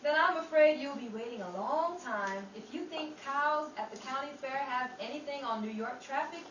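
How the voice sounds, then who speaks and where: A woman's voice: high-pitched, moderate pace, highs cut off, natural voice. A woman playing a cautious, practical young friend in a theatrical 1930s New York radio comedy. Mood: wry, exasperated. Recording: noisy roomy stage recording, distant mic, audience.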